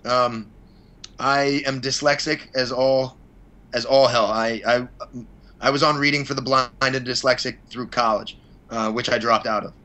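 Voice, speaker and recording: A man's voice: medium pitch, steady tone, unhurried, sharp tone. A man being interviewed as a podcast guest, chatting casually and rambling through blunt, opinionated answers with some mild swearing. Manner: blunt, opinionated, mildly profane. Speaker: podcast guest, fight promoter.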